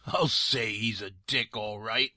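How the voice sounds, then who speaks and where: An actor playing a crude, excitable male client of a detective in a noir audio drama. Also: on the low side, measured pace, dry recording, clean studio sound. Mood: cheeky.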